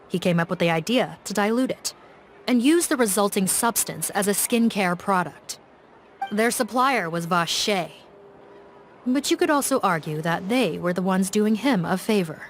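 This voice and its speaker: Woman playing a calm, firm police officer. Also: mid-range voice, a little hiss or hum, natural voice. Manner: calm, matter-of-fact reporting. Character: composed adult female police officer. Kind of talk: video game dub dialogue. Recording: game cutscene with music beneath. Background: music.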